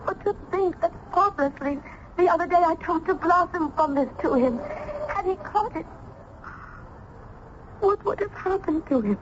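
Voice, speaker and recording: Gentle young maiden, muffled sound. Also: female voice, extremely high pitch, moderate pace, long pauses. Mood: alarmed, anguished. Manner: earnest, formal, archaic phrasing. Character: gentle, innocent young maiden, a doctor's sheltered daughter. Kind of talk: old-time radio drama acting. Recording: muffled, noisy vintage radio recording.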